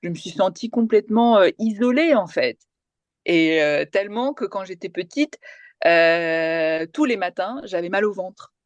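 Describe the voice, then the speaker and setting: A woman's voice: medium pitch, expressive, measured pace, no echo. A woman in her forties from India, raised in France, whose first language was Tamil, giving reflective, personal answers as a guest journalist in an interview. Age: 40s.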